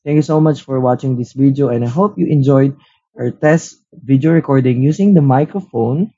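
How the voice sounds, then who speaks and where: Filipino man doing a relaxed gadget review. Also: medium pitch, moderate pace, slightly rough, dull audio. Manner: relaxed Taglish code-switching, frequent "uh" and "so". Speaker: tech reviewer host. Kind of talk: casual product review and demo. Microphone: headset built-in mic.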